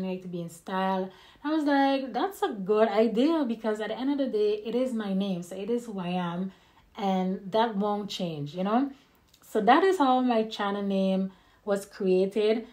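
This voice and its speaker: A woman's voice: mid-range voice, a little mellow. A young woman from Haiti, a vlogger chatting to camera in a casual, open and personal way, a little nervous, with small laughs. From Haiti, raised in the Caribbean. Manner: nervous, by her own account. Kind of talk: casual get-to-know-me chat to camera.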